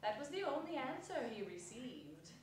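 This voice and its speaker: A woman narrating a story in a theatrical dramatic reading, measured and solemn. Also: fairly high pitch, big pitch swings. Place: theatre hall stage reading. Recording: echoey hall, distant mic, some noise.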